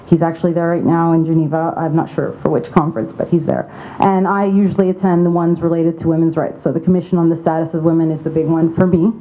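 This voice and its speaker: Low-voiced young woman, tinny recording. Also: little pitch movement, fast, mellow. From Saudi Arabia. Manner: candid, informal and conversational. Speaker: advocacy representative. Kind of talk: conference speech. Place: conference venue. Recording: tinny phone-like sound.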